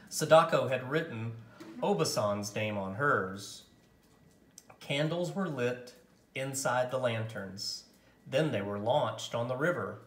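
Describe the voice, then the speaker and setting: A man narrating a picture book aloud as a storyteller, with expressive, dramatic and deliberate phrasing. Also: mid-range voice, roomy sound, a little hiss or hum.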